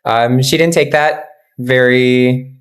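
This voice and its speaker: A man's voice: medium pitch, slow. A young man from Miami chats as a podcast guest, his voice rising and dipping as he tells stories. He laughs often and talks with plenty of energy. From Miami, USA. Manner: animated, laughing storyteller. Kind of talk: casual chat.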